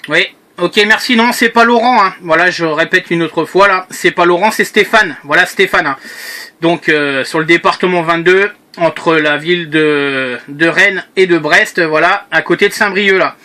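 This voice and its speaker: A man's voice: sits high, normal speed, bright, forceful tone. A man chatting casually as an amateur radio operator, friendly and inclined to repeat himself. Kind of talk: casual chat over radio.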